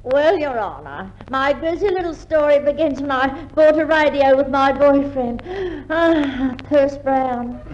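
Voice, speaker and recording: An actress playing a coy, flattering, melodramatic woman witness, theatrical in the 1950s radio comedy manner. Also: high voice, measured pace, muffled recording. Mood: prim. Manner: gushing, theatrical. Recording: noisy 1950s radio recording.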